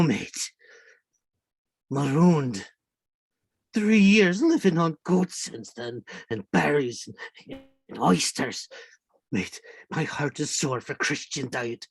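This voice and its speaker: A marooned old sailor, half-mad and sly, in a husky, wildly swooping voice. He rambles slowly in rustic seafaring speech, repeating himself eagerly. The sound is close and dry. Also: medium pitch, no room sound, exaggerated voice. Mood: wistful, plaintive. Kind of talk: radio play acting. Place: indoors, remote online reading. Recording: dry video-call recording.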